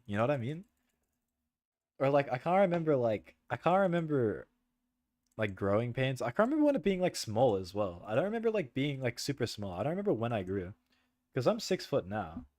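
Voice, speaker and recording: A young man streaming and chatting loosely with viewers, rambling with lots of "like" and "bro" and frequent laughter, in a soft voice. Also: medium pitch, dry recording. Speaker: livestreamer. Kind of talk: casual chat with stream viewers.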